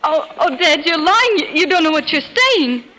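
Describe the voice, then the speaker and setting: A devoted young daughter pleads in melodramatic 1930s radio style. The voice is very high and piercing, on a noisy old broadcast recording. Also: female voice, very animated, moderate pace, bright, forceful tone, exaggerated voice. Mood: pleading, distressed. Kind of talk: 1930s radio drama acting.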